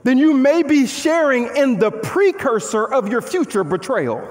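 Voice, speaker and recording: High-voiced preacher in a hall. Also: male voice, unhurried, slight background noise. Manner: animated, conversational preaching with rhetorical questions; paces the stage and gestures while preaching. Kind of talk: sermon. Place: large church auditorium stage. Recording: long hall reverb.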